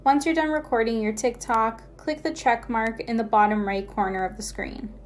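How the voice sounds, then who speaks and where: Higher-voiced woman narrating a tutorial. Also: steady tone, normal speed, slight background noise. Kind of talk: scripted how-to narration.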